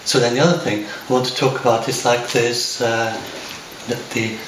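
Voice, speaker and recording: A man's voice: mid-range voice, steady tone, normal speed. An older man with an English accent, talking to camera at home in a hesitant, rambling way, full of fillers and restarts. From lives in Scotland. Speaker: software developer talking to camera. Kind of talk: unscripted talk to camera. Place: home room by large windows. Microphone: far camera. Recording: roomy, distant, noisy home recording.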